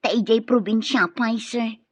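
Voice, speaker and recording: A woman's voice: fairly high pitch, normal speed, slightly rough, no echo. A woman acting as a nosy, gossiping female office worker in an Ilocano radio drama. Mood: gossipy.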